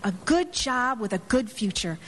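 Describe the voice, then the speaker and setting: A proud, perky young American bride in a stage radio play. Her fairly high voice moves with lively swings over faint noise. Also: a little slow. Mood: bright, proud. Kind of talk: live radio drama acting.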